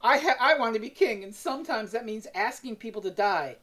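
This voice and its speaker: Woman doing young king guy from an audio drama, pompous, justifying himself, very animated. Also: fairly high pitch, a little fast, pitched above the performer's own voice. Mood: insistent, self-justifying. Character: self-righteous young male king defending himself.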